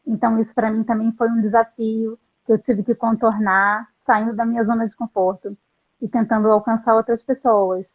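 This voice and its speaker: A middle-aged woman with a somewhat high voice tells her story in an earnest, halting way, often restarting her sentences. The sound is thin and phone-like, as over a video call. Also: flat delivery. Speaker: translator, interview guest. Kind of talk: reflective interview storytelling. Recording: tinny phone-like line.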